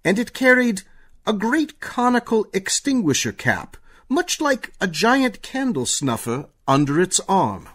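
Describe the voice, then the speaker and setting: A man narrating a scripted radio play as a storyteller, with measured dramatic delivery. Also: sits high, big pitch swings, fairly bright tone, breathy edge.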